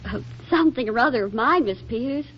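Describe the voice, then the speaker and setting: A dull, faintly noisy old radio recording carries a woman acting a practical young housewife. She has a fairly high voice and plays the suburban hostess with wry politeness, in 1940s radio-comedy style. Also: expressive, fast, lightly acted. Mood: gracious.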